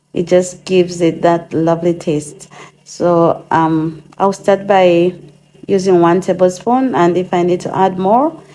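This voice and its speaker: A woman hosting her own DIY channel, chatting through a cooking demonstration in her home kitchen, her voice a little hoarse from a cold and full of casual ums as she thinks aloud. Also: on the low side, mellow.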